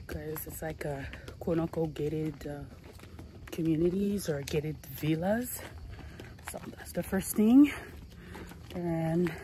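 A young woman talking casually to her phone camera at arm's length outdoors, chatty and saying y'all a lot, with a voice that is going hoarse. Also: lowish voice, mellow, slight background noise, poor audio. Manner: chatty, says y'all and like often. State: losing her voice. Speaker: travel vlogger. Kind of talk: casual vlog chat to camera. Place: outdoors, sunny hillside villa terrace. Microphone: phone camera held at arm's length.

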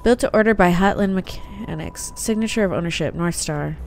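A young woman commenting casually and excitedly as she plays a game, often laughing. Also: lowish voice, a little fast, slight background noise. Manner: giggly, excitable. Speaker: gaming youtuber. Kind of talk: casual let's play commentary. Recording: game music faint underneath.

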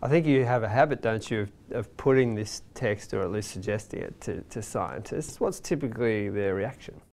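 A man's voice: fairly low pitch, moderate pace, vocal fry, a little mellow. A middle-aged man putting questions to a guest in a conversational interview style. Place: indoor TV-style studio.

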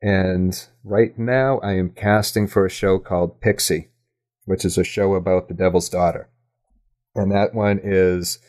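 A man speaking as a guest in a casual interview, halting and self-effacing as he thinks aloud. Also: sits low, darkish tone. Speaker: podcast guest, audio drama creator.

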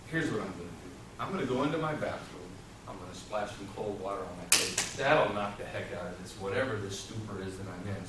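Echoey, distant middle-aged man telling a tale. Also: fairly low pitch. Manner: dramatic, deliberate, with pauses and repetition. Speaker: storytelling contestant at a public speaking club. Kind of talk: theatrical tall-tale speech to a live audience. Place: small meeting room with whiteboard and audience, indoors. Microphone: far camera microphone. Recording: roomy echo, distant mic, clearly noisy.

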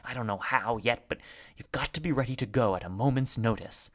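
A man voicing a furtive, intense army deserter in a story, gruff and often whispering, secretive and urgent. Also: medium pitch, fairly quick, close to an everyday voice. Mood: urgent, hushed. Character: furtive, intense soldier deserter posing as messenger.

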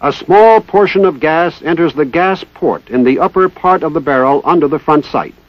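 A man giving formal, precise, scripted narration in the style of a 1960s military instructional film, with measured enunciation. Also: a little high, dry recording. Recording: dull 1960s training film soundtrack.

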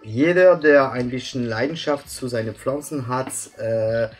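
A man's voice: mid-range voice, a little slow. A man in his thirties from France, speaking German with a French accent as an invited expert, explaining patiently with many hesitations and fillers. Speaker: guest expert. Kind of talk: explanatory interview chat. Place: bright office room with plants.

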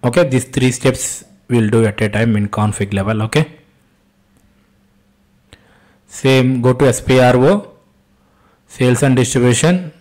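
A man with an Indian accent giving an off-the-cuff software tutorial as an instructor, repeating himself and posing short questions that he then answers. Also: medium pitch, a little slow, long pauses. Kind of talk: step-by-step screen-share tutorial, spoken off the cuff.

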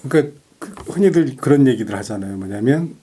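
A man's voice: medium pitch, expressive, fast, mellow, a little hiss or hum. A middle-aged man in casual conversation as a co-host of a music talk show, speaking effusively and repeating himself for emphasis. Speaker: podcast co-host.

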